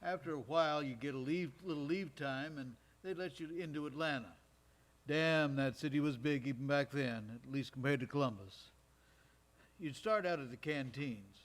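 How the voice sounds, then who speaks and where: Man playing folksy war veteran, higher-pitched voice. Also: normal speed, lightly acted. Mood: reminiscing. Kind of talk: live stage storytelling monologue, conversational. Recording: live stage recording in recreation hall.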